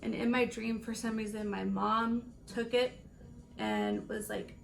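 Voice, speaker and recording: A woman in her late twenties with a raspy voice, chatting casually through a makeup tutorial and rambling between stories with laughs. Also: a little high, very animated, unhurried. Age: late 20s. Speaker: beauty vlogger.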